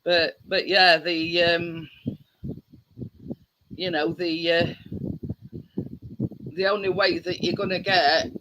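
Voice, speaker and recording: Woman, live stream host, slow rambling chat, frequent laughter. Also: lowish voice, little pitch movement, background noise. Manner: rambling, laughs often, lots of fillers. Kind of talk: casual chat.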